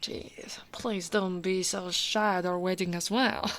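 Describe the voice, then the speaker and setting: An Italian woman playing a tall, devoted female orc royal guard in an ASMR roleplay, speaking softly and intimately as if to the one listener she loves. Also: lowish voice, steady tone. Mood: affectionate, reassuring. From Italy. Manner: slow, intimate, often dropping to a whisper.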